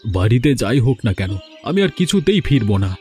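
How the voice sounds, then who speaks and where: A man voicing a grumbling village man in a cartoon fairy tale, speaking in deliberate dialogue. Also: mid-range voice, big pitch swings, a little mellow, soft background music, natural voice. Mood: weary, resigned. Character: male village thief and weary elder brother, grumbling.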